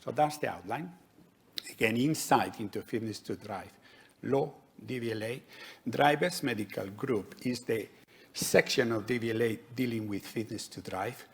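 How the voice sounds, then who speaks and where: A man with a Spanish accent giving a measured, explanatory conference talk as a doctor. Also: medium pitch. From Spain. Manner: measured, explanatory, with light humour. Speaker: conference speaker, DVLA doctor. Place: conference hall.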